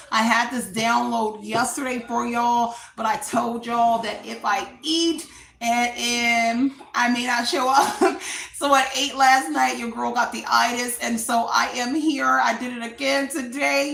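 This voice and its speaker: A loud, chatty woman hosts a tarot reading from home. Her voice is bright and a little high, and it rings in an echoey room. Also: creaky. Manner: chatty, loud, addresses viewers as y'all. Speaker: tarot reader and channel host. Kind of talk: casual livestream tarot reading.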